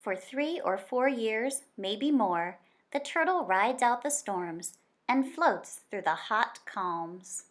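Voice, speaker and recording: A woman reading a children's nonfiction picture book aloud like a teacher, in an expressive, deliberate storytelling manner. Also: fairly high pitch.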